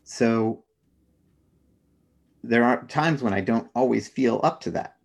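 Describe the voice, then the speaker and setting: A man's voice: mid-range voice, slow, gravelly. A middle-aged man speaking as an interview guest, explaining his thinking in a reflective, conversational way. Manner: reflective, explains through personal examples.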